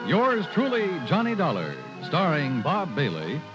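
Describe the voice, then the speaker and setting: Very animated vintage radio announcer, male. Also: a little high, unhurried. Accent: American. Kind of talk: 1950s radio announcing, scripted credits. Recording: muffled vintage radio with music behind. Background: music.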